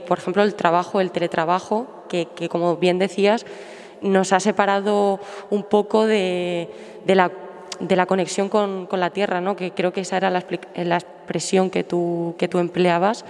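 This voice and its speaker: A woman from the audience politely asking the speaker a question, halting and repeating words. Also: lowish voice, level tone, a little mellow. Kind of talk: formal question. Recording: echoey hall.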